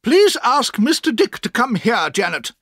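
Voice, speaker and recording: A man voicing a strict, brisk grey-haired elderly aunt who speaks sharply and crossly. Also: very high-pitched, very animated, fairly bright tone, no echo, studio quality, voice pushed up, full character voice. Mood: firm.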